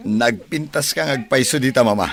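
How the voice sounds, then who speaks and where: An actor playing a cheerful, jovial wealthy son in a radio drama. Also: male voice, mid-range voice, measured pace, gravelly, a bit bright. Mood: admiring. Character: cheerful wealthy son, busy expectant father.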